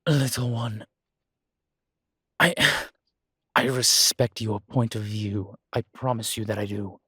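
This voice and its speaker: A man playing a guilt-ridden, centuries-old vampire in an audio drama, speaking gravely and formally with weary, deliberate pauses. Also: fairly low pitch, steady tone, sharp tone, no echo, studio quality, full character voice. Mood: earnest, resolute. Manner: slow, grave, deliberate phrasing with long pauses. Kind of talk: dramatic audio roleplay acting.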